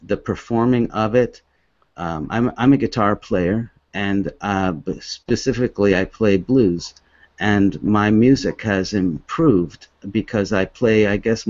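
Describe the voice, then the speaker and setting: A man in his fifties who grew up in Hong Kong, giving an earnest, halting presentation as an author with many restarts. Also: on the low side, darkish tone, no echo. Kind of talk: presentation with slides. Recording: dull, dry lo-fi recording.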